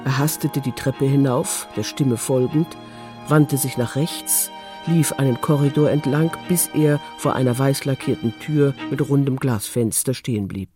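A man's voice: mid-range voice, unhurried, a little mellow. A man reading scripted literary narration as the narrator of a crime story. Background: music.

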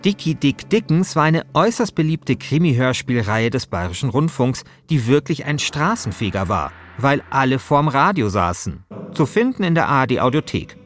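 A man's voice: medium pitch, sing-song, moderate pace, fairly bright tone. A man speaking as a podcast co-host, moving between casual chat and scripted narration.

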